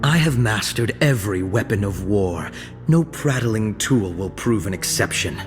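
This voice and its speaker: Arrogant young assassin for a game: lowish, slow, cold, haughty. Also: male voice, animated. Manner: cold, haughty, measured delivery. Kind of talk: video game voice lines. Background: game music.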